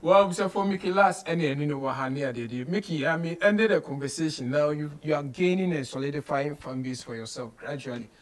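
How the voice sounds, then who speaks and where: A man's voice: a little high, a little fast, hardly any pauses. A Ghanaian-accented man in his thirties hosting a casual studio interview, mixing English with local slang and phrases. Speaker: radio show host, interviewer. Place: small radio studio office, indoors.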